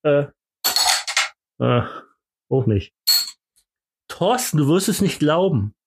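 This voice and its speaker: A man's voice: a little high, sing-song, takes it slowly, very noisy. A man with a Berlin accent hosting a podcast, joking around in boastful, mock-pompous banter full of fillers and restarts. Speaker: podcast host.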